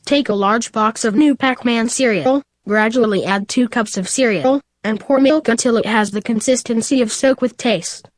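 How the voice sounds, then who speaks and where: A woman's voice: fairly high pitch, normal speed, few pauses, no room sound, clean studio sound. A woman presenting a scripted commercial in old-time radio style. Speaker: advertisement presenter.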